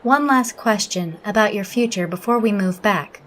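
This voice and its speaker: A woman playing a logical alien officer in an audio drama, interviewing in a formal, measured, reserved manner. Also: mid-range voice, a bit bright, lightly acted.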